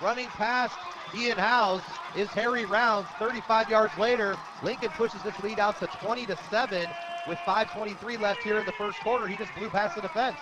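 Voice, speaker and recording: A high-pitched American man works as a radio play-by-play announcer. He calls the football game live with emphatic excitement, over clear stadium noise behind his voice. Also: fairly quick. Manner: excited, emphatic calling of plays. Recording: outdoor stadium broadcast, heavy background noise.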